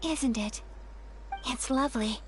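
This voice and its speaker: A woman voicing a gentle, refined young noblewoman in a video game, soft-spoken, polite and formal. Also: high voice, takes it slowly, slight background noise. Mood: gentle, pleased. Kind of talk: video game character acting.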